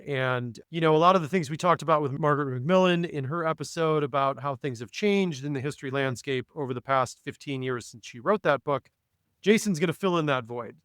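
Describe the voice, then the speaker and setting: A man's voice: medium pitch, fairly bright tone, smooth, no room sound, studio quality. A man hosting a podcast, reading a guest introduction and then chatting with his guest in an upbeat way. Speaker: podcast host. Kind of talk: casual podcast interview.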